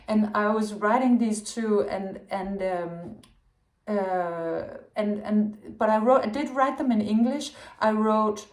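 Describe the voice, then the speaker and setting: A woman's voice: medium pitch, slow pace. Woman, tarot reader, wandering and self-correcting, echoey room. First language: Danish. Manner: rambling, searching for words with many restarts. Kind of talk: intuitive tarot reading monologue.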